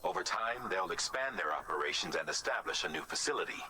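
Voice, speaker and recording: A man's voice: deep voice, expressive, fast, bright, forceful tone, a little hiss or hum, exaggerated voice, poor audio. An actor playing a male mission handler in a game, giving earnest directions over the radio. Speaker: game character.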